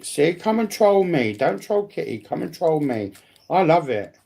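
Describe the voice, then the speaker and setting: Gravelly British voice, blunt and sweary. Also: medium pitch, very animated, measured pace, mellow. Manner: blunt, combative, heavily sweary. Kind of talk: casual livestream banter.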